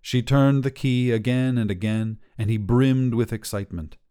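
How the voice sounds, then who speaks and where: A man narrates a piece of short fiction in a warm, mellow voice. His delivery is that of a literary storyteller, measured and scripted, at a medium pitch. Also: clean tone.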